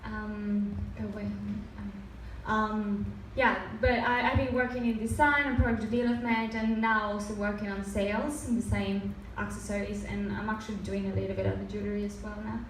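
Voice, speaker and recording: A woman's voice: mid-range voice, flat delivery, normal speed, hardly any pauses, roomy sound. Woman, Mexican accent, Spanish native, casual presenter, fillers and restarts, distant mic, faint background noise. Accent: Mexican, with some Australian. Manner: many fillers and restarts, loose and chatty. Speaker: design presenter. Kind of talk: casual talk with slides. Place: event room.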